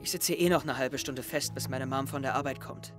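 A man's voice: mid-range voice, fairly even intonation, a little fast, natural voice. Anxious, introspective teenage boy, played by a young man, for tense audio drama monologue over music. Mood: brooding. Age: young adult. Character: anxious, brooding teenage boy confiding his suspicions.

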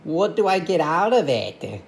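Broke luigi toy guy, girl doing him, fast and sing-songy, home toy vid. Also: sits low, big pitch swings, vocal fry, a little mellow, slight background noise. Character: broke, scheming Luigi, male plush. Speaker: plush toy puppeteer. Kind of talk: improvised toy video. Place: home bedroom.

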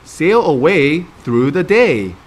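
A man's voice: fairly high pitch, sing-song, takes it slowly. A man in his thirties hosting a children's storytime, reading aloud expressively and speaking directly to young listeners.

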